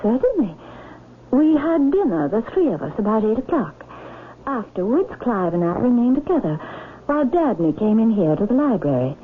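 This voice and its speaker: An actress playing a proud, composed aristocratic widow in a radio drama, speaking in a formal, poised and imperious manner. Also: female voice, on the high side, sing-song, normal speed. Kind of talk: 1970s radio drama acting. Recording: muffled lo-fi old radio broadcast, hiss.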